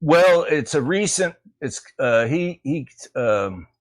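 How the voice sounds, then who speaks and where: A man's voice: on the high side, expressive, slow. A man calling in to a talk show, explaining things casually while searching for his words. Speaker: caller. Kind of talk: casual call-in chat.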